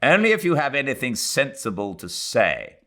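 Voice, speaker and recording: A man's voice: medium pitch, huge pitch swings, normal speed, fairly bright tone, pitched above the performer's own voice. A man voicing a pompous young English colonial official in a novel, officious, curt and impatient.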